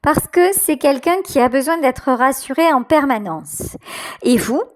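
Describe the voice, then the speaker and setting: A woman giving an unscripted tarot reading directly to the listener, halting and repeating her phrases. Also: high voice, expressive.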